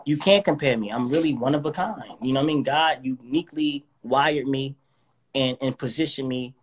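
A man's voice: medium pitch, normal speed, slightly rough. Confident man in his thirties on a video call. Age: 30s. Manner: confident, preachy, motivational. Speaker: guest artist. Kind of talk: casual interview over live video. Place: indoors, living room with couch.

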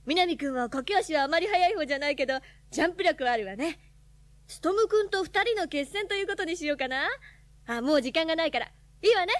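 An actress playing a brisk schoolteacher giving instructions to her gym class in a radio drama. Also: high voice, animated, dry recording. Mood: brisk, encouraging.

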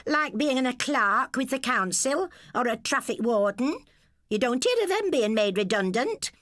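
An English woman voicing a sharp, bitter stepmother in a storybook, nagging and indignant. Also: on the high side, big pitch swings, unhurried, no room sound, studio quality, voice pushed up. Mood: sniffy.

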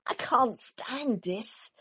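A woman voicing a weary, exasperated father bear in a storybook, complaining emphatically with drawn-out words. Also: on the high side, expressive, pushed and bright, husky, pitched above the performer's own voice, full character voice.

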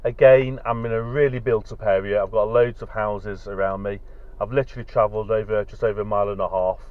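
A man's voice: on the low side, steady tone, fast talker, no room sound. A British man in his forties giving casual, off-the-cuff commentary as he drives and tests radios. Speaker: vlogger testing two-way radios. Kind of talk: casual vlog commentary while driving. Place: inside a car. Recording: dull sound, loud car and road noise. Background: car engine and road noise.

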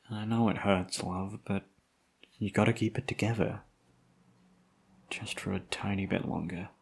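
A man playing a tough, protective, foul-mouthed bodyguard with a husky voice in an ASMR audio roleplay. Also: lowish voice, a little mellow, exaggerated voice. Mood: urgent, protective. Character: tough protective male bodyguard, foul-mouthed, tender toward his charge.

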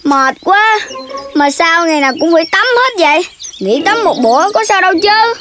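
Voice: extremely high pitch, animated, moderate pace. An actor voicing a childlike, lazy, boastful chubby little pig in a children's cartoon, whiny and full of exclamations. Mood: grumbling. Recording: music behind the voice.

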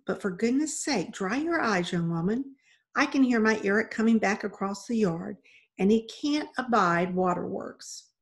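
A woman's voice: mid-range voice, moderate pace, natural voice. Woman playing a firm, no-nonsense older wife. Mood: brisk, kindly. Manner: steady, stern, no-nonsense. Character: tiny, steady, firm older custodian's wife.

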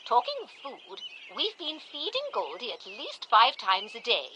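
A woman's voice: high voice, wildly swooping pitch, moderate pace, very noisy, full character voice. A woman voicing a kindly, scatterbrained elderly pig grandmother in a children's cartoon game, chirpy and dithering. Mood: cheerful.

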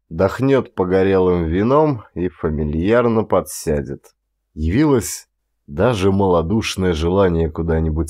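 Man, low voice, audiobook narrator, expressive literary reading.

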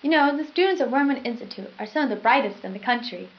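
A chipper, eerily reassuring teenage girl anchoring a school newscast. Her voice sits fairly high, on dull, lo-fi nineties videotape sound. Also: expressive, fast talker. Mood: upbeat. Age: young adult. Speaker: news anchor. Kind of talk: scripted school news presenting, acted. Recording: dull lo-fi 1990s VHS sound.

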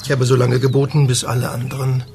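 A male actor playing an eccentric film star in a German audio drama, dramatic and savoring his words with pauses. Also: medium pitch, monotone, music in the background, close to an everyday voice. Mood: storytelling, enraptured. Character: theatrical, eccentric film actor pining for his escaped genie.

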